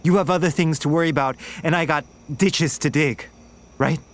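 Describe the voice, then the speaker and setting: A hurt, bitter young man serving in the military, voiced by a male actor, confronting his girlfriend in an audio drama. His voice sits a bit high for a man. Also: moderate pace, lightly acted. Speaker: lead character, boyfriend.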